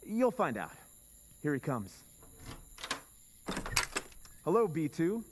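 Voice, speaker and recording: An actor playing a smug, self-assured scheming husband in an old-time radio drama recreation. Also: male voice, fairly high pitch, big pitch swings, slow pace, big-room sound, noisy background.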